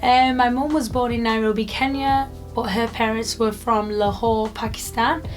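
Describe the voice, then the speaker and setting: A woman's voice: on the high side, steady tone, moderate pace, faint music behind. A British woman in her twenties from the UK, chatting casually to camera in a rambling vlog with lots of fillers. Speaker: YouTuber.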